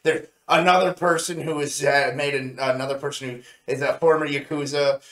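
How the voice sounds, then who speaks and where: A man co-hosting a review show in casual chat, with a halting, rambling way of telling things. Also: on the high side, steady tone, fairly bright tone. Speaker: co-host of an anime review show. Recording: roomy, echoey sound.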